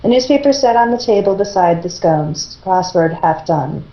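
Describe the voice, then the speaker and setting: Woman with a dark, relaxed tone, informal reader, heard over a muffled, echoey voice call. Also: on the low side, normal speed. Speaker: participant in a group fan fiction reading. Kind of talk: casual chat and amateur story reading. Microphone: internet group voice call. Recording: dull, echoey internet voice call.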